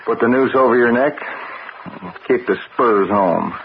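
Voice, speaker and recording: An actor playing a watchful, folksy ranch stable hand with an American Western accent and a hesitant drawl, in old-time radio drama style. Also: male voice, mid-range voice, unhurried, vocal fry. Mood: probing, grim. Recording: noisy, dull old radio broadcast.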